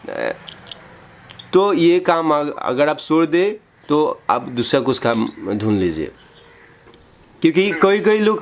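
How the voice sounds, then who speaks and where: A man earnestly admonishing someone in a halting, repetitive way on a phone call. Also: a little high. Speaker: host confronting a scammer.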